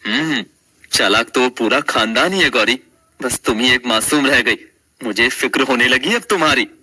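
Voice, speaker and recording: A man playing a young husband in a family story, caring yet exasperated, emphatic and dramatic, with a husky voice. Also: mid-range voice, moderate pace, pushed and bright. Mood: concerned.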